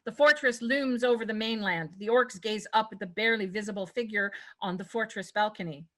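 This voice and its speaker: A woman's voice: medium pitch, a little fast. A woman reading scripted stage directions as the narrator of a table read.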